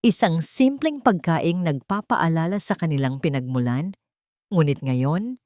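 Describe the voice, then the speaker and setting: Low-pitched woman narrating a sentimental story, dry sound. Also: mellow, dry recording. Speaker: audio drama narrator. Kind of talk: scripted dramatic story narration.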